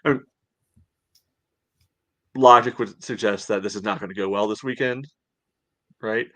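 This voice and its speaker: A man's voice: lowish voice, steady tone, measured pace, a bit bright, no room sound. A man co-hosting a podcast, giving casual sports analysis and reasoning aloud in a conversational way. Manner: conversational, reasoning aloud with frequent "you know". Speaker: podcast co-host.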